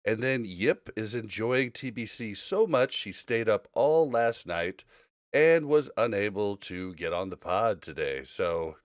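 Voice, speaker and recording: A man's voice: fairly low pitch, animated, measured pace, no room sound. A man hosting a casual gaming podcast, speaking conversationally into a close mic. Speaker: podcast host.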